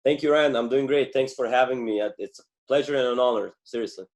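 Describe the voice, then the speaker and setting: A man from Bulgaria, a native Bulgarian speaker in his thirties, talking as a podcast guest with frequent pauses and fillers. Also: medium pitch. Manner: hesitant, many fillers and restarts. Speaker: guest, former Olympic wrestler. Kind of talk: podcast interview.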